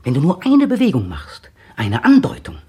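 An actor playing a brooding, cynical poet in a radio drama monologue, talking to himself haltingly and slipping into declamation. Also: male voice, a little high, wildly swooping pitch, a little mellow, breathy edge, exaggerated voice. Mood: agitated, haunted. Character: brooding, cynical man, a poet, waiting restlessly at home. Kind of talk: 1960 German radio drama interior monologue.

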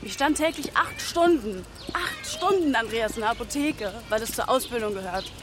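A woman's voice: a little high, expressive, normal speed, noisy background, natural voice. An actress in her twenties playing a frustrated, disillusioned young graduate in naturalistic radio drama, arguing and questioning. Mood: bitter, agitated. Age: 20s. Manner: argumentative, questioning.

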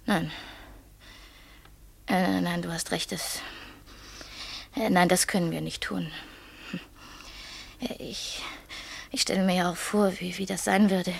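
An actress in a German radio play playing a desperate, bitter young wife trapped in a loveless marriage, intense, pleading and reproachful. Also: female voice, lowish voice, fairly even intonation, slow pace, gravelly, pauses often, husky, full character voice. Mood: uneasy.

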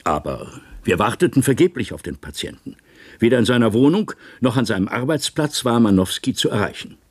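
Male official at a hearing, radio play, formal report, animated pitch, dry close studio. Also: brisk, husky, no echo. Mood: formal, explaining. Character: authoritative male secret project official presenting evidence at hearing.

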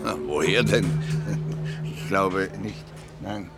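An actor playing an older, dry and terse retired police inspector in a naturalistic radio drama. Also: male voice, low voice, takes it slowly, gravelly, over loud music, exaggerated voice. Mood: curious, guarded.

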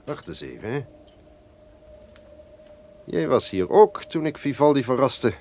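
An actor playing an imperious, menacing duke in an old-fashioned radio drama, speaking formally, theatrically and with command. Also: male voice, medium pitch, very animated, a little slow, dark tone, slight background noise. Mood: stern, suspicious.

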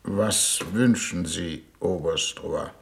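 An actor playing a weary, haughty male foreign minister on a mission, deliberate and formal, in 1950s German radio drama style. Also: sits low, gravelly, full character voice. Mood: formal, cold. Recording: 1950s radio recording, background noise.

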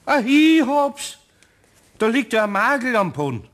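An actor playing a flustered, good-natured older craftsman in a radio play, stammering and hesitant, with a Bavarian accent and a husky voice. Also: very high voice, very animated, measured pace, a bit bright, heavily acted. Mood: flustered, evasive. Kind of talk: German radio play acting.

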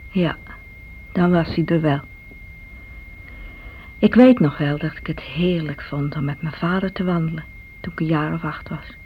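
An actress plays a grown woman looking back in a radio play. She is reflective and quietly independent, with a measured, plain delivery. The sound is muffled, with a faint hum underneath. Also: fairly low pitch, close to an everyday voice. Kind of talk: radio play monologue, reminiscing.

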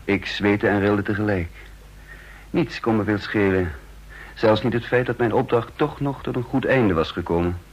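A man's voice: fairly low pitch, moderate pace, husky. An actor playing a tense, wounded secret agent who narrates his own mission in a radio drama. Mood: weary, detached. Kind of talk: radio drama acting and first-person narration.

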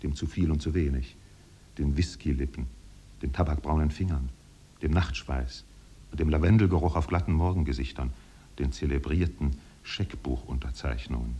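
A male actor playing a wry, poetic narrator in a 1950s German radio drama, with deliberate literary phrasing. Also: rumbling low, mellow, slightly rough. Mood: lyrical. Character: wry, poetic storyteller observing islanders.

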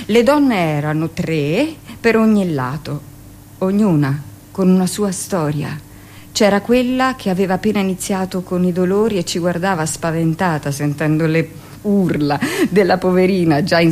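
A woman playing a middle-aged midwife in a theatrical radio monologue, telling her story in an emphatic, colloquial way, brisk and self-justifying. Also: lowish voice, slight background noise, natural voice.

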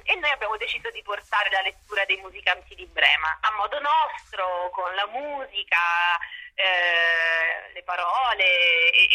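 Female interview guest, very bright sharp tone, husky edge, hesitant conversational delivery. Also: medium pitch, rough recording. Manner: hesitant, pausing mid-sentence. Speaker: theatre artist, interview guest. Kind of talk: casual spoken interview.

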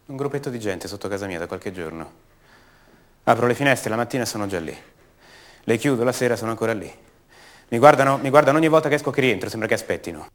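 An actor playing an uneasy, brooding, paranoid man in a halting, word-repeating radio drama monologue. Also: mid-range voice, expressive, natural voice. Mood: paranoid, anxious. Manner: halting, stammering, repeats words. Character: uneasy, brooding grown son, increasingly paranoid and agitated. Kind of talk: radio drama monologue, naturalistic theatrical acting.

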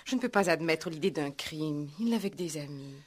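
An actress playing a dignified, grieving widow, composed and formally polite, in a sixties radio play. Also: female voice, sits low, expressive, brisk, darkish tone. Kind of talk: 1960s French-language radio play acting.

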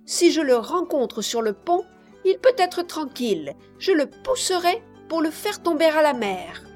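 A woman voicing a willful, bossy but warm-hearted eight-year-old girl in an audiobook, insistent and exclaiming. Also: sits high, very animated, a little slow, faint music behind, pitched above the performer's own voice. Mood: indignant. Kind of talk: audiobook character voice.

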